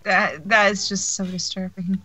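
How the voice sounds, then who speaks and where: A woman's voice: medium pitch, steady tone, a little slow, bright, forceful tone, husky. A woman co-commentator giving casual reactions during a game.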